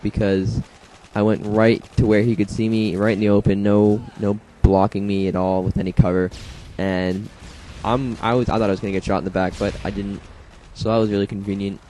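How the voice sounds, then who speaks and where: A young man narrates his own match in a rambling, offhand way, his voice a little hoarse and congested. His tone is low and mellow. Faint game gunfire sits under the talk. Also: little pitch movement, a little fast, few pauses, slight background noise, poor audio. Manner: rambling, self-deprecating, lots of fillers. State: slightly hoarse from throat congestion. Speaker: gameplay commentator. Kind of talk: casual gameplay commentary.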